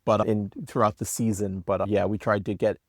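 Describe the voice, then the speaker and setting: A man's voice: fairly low pitch, level tone, brisk, no room sound, studio quality. A man being interviewed as a writer, talking in a conversational, anecdotal way. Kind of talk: interview talk.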